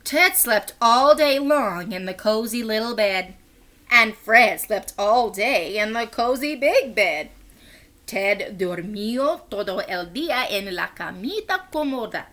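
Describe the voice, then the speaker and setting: A woman doing an expressive children's read-aloud in two languages, with animated, drawn-out storytelling. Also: fairly high pitch. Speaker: teacher reading a picture book aloud.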